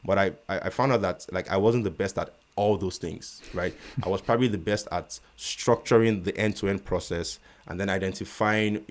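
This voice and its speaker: Very deep-voiced Nigerian man. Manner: measured, with frequent restarts and stutters. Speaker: guest, real estate investor. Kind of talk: casual interview conversation.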